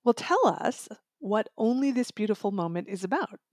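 A woman's voice: mid-range voice, big pitch swings, normal speed, a little mellow, no echo, studio quality. A woman hosting an interview show about audiobooks, presenting and asking questions. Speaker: interview host.

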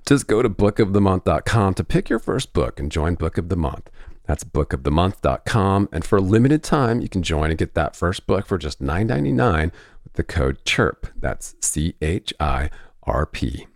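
A man's voice: deep voice, very animated, moderate pace, gravelly, no room sound, clean studio sound. A man hosting a podcast, delivering scripted intros, sponsor reads and interview questions. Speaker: podcast host.

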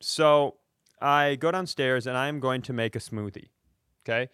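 Smooth-voiced man telling comedic stories. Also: mid-range voice, normal speed, fairly bright tone, dry recording, clean studio sound. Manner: self-deprecating, profane. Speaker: comedy podcast host. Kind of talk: casual comedic storytelling.

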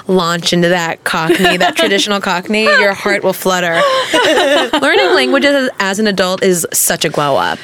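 A woman's voice: mid-range voice, big pitch swings, normal speed, fairly bright tone, slight background noise. A woman co-hosting a podcast in casual chat, gushing and swearing freely. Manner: chatty, swears freely, gushes with enthusiasm. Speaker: podcast co-host.

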